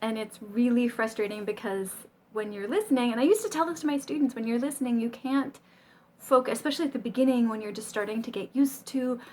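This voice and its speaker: A woman's voice: on the high side, fairly even intonation, fast, darkish tone. Chatty woman rambling with a laugh. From United States. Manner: rambling, self-deprecating, frequent ums and laughs. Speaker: vlogger learning Chinese. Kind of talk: casual talking to camera.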